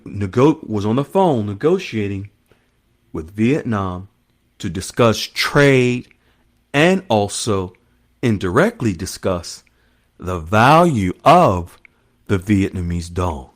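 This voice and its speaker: A man's voice: fairly low pitch, big pitch swings, slow, long pauses. A man hosting an online finance news monologue, speaking in short emphatic phrases and repeating key terms as he explains them to followers. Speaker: host of an online currency news channel.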